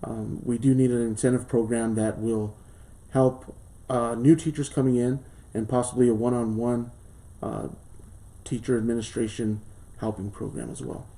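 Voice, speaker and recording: A man in his late thirties, a school board candidate from southern Colorado, reading a formal speech and answering questions earnestly, with pauses and fillers, in a small studio. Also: medium pitch, flat delivery, warm tone. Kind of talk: prepared campaign speech and interview answers.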